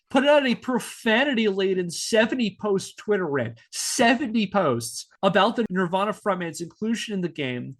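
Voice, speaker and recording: A man's voice: high voice, fairly bright tone. A man hosting a podcast, reading scripted narration with casual asides in a wry, emphatic storytelling manner. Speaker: podcast host.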